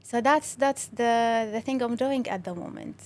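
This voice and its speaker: A woman's voice: a little high, normal speed, a little hiss or hum. A young woman from Syria with a Syrian Arabic accent, a native Arabic speaker, talking as an interview guest in a friendly way with many fillers and restarts. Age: young adult. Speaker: interview guest, creative director. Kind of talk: casual interview talk.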